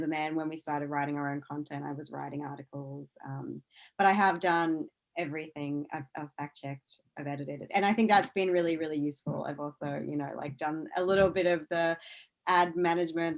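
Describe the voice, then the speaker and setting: A low-voiced woman with an Australian accent talks casually as an interview guest. She is chatty and informal, breaking into her own sentences and starting again as she explains things. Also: steady tone, fairly quick. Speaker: interview guest, science news CEO. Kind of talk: casual conversational interview answers.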